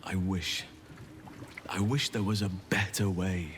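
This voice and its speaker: Low-voiced, solemn, gentle druid man. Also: level tone, a little hiss or hum. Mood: earnest, sorrowful. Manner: measured, earnest, poetic. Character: gentle, solemn male druid who loves nature. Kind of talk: scripted video game dialogue.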